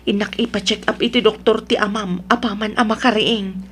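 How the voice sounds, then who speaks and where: A woman's voice: medium pitch, fairly even intonation, normal speed, close to an everyday voice. A woman playing a devoted, distressed wife and mother in an Ilocano audio drama. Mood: concerned. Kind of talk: Ilocano radio-style drama acting.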